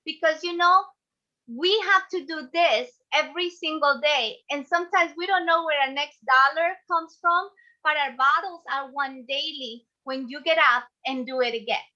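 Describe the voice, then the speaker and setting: Bright, high-voiced Ecuadorian-accented woman presenting. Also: moderate pace. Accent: Ecuadorian Spanish. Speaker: speaker, business coach and class host. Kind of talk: motivational online class presentation. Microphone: video call. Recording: dull, lo-fi online call audio.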